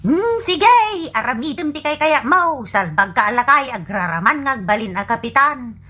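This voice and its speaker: An exasperated older wife in an audio comedy, acted by a woman. Her voice is high and bright. She scolds her husband sharply and answers him with disbelief. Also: expressive, normal speed, husky, heavily acted. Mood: scolding. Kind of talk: comedy radio drama acting.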